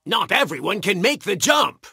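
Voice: mid-range voice, sing-song, moderate pace, gravelly, bright, forceful tone, husky, no room sound, exaggerated voice. A voice actor playing a brave, proud big cat, king of animals, in a children's cartoon, with an emphatic, heroic delivery. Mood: concerned.

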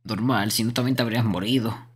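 A man's voice: lowish voice, steady tone, fast, husky. A man streaming a game, chatting casually and reading things off as he plays. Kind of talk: casual live gaming chat.

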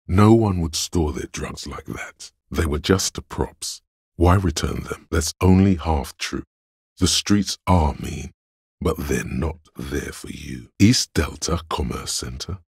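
An actor playing a calm, analytical inner voice of logic in a video game, gravelly and husky, speaking in a dry, measured, knowing way. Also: male voice, a bass voice, no room sound, full character voice. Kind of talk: video game voice-over narration.

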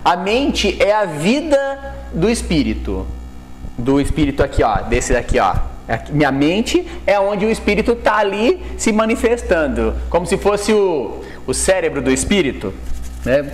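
Higher-pitched Brazilian man giving a talk. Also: big pitch swings, moderate pace, a little hiss or hum. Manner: explanatory, colloquial, frequent tag questions and rephrasing. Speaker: lecturer at a Spiritist study group. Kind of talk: informal religious lecture. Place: indoors, plain room with whiteboard backdrop. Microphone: clip-on lapel microphone.

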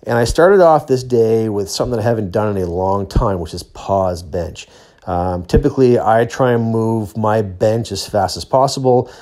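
Low-voiced middle-aged man narrating casually. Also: normal speed, mellow. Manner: plain-spoken, explanatory. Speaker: athlete and training vlogger. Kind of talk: casual voice-over narration of training footage.

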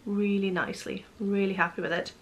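A woman in her forties, a bit croaky, chatting casually to camera in her living room about her day and her knitting, with lots of ums. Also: medium pitch, level tone, fairly quick. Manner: chatty, rambling with many ums. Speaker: knitting vlogger. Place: living room at home, indoors. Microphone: camera close in front.

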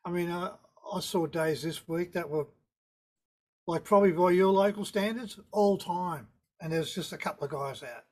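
A man's voice: high-pitched, moderate pace. Laid-back Tasmanian man on a video call. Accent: Tasmanian Australian. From Tasmania, Australia. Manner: relaxed, rambling storyteller with laid-back understatement. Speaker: surf photographer guest. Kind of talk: casual interview on a video call. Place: indoor room with a virtual wave backdrop. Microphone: video call webcam.

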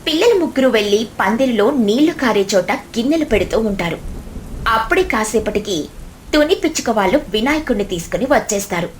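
A woman's voice: a little high, lively intonation, fast talker, a little hiss or hum. A woman narrating a scripted children's moral story as a storyteller. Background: music.

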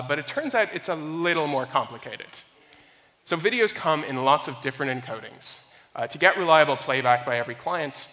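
A young man giving a prepared technology talk at a conference in a measured, explanatory way. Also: mid-range voice, bright, forceful tone. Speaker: software engineer, conference speaker. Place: indoor conference room, speaking on stage. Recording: reverberant hall sound.

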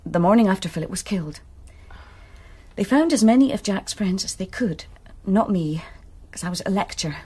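A hesitant, breathy student. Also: female voice, medium pitch, lively intonation, moderate pace. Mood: worried. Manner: hesitant, confiding, hushed. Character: troubled, reluctant young woman student.

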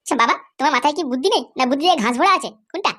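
A performer voices a cheeky son in a comedy cartoon. He is rude, taunting and bossy. His voice is high and lively, and the words tumble out very quickly. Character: cheeky, insolent, foul-mouthed grown-up son.

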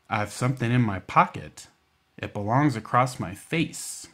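A man's voice: mid-range voice, fairly bright tone. Folksy American man hosting children's storytime. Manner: folksy, informal, coaxing with check-in questions. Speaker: library storytime host.

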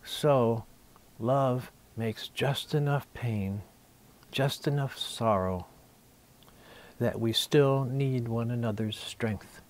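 A man in his sixties, a poet, reading his own verse intimately, in measured phrases with deliberate pauses. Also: mid-range voice. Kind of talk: poetry reading, intimate recitation.

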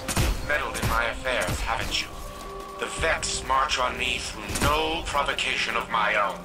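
An actor playing an arrogant old scientist's AI in a video game, speaking with pompous, formal condescension. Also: male voice, mid-range voice, measured pace, close to an everyday voice. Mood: stern, demanding. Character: arrogant old male scientist's AI, imperious and disdainful. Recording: game audio under loud music.

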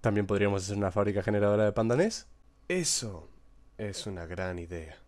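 A man in a scripted comic scene, making quick, matter-of-fact suggestions. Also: on the low side, animated, darkish tone.

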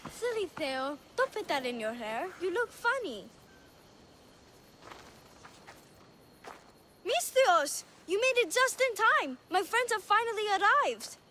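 A woman playing a naive, lonely little orphan girl in a video game, with an earnest, halting, childlike way of speaking. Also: extremely high pitch, very animated, sharp tone, a little hiss or hum, exaggerated voice. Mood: playful, cheerful. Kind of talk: video game cutscene dialogue.

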